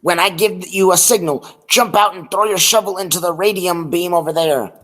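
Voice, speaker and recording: Teen boy as a high-voiced scheming prisoner. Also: moderate pace, fairly bright tone. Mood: hushed urgency. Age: teenager. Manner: earnest, instructing. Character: steady, scheming fellow prisoner plotting escape. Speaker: student actor. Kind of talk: old-time radio serial acting.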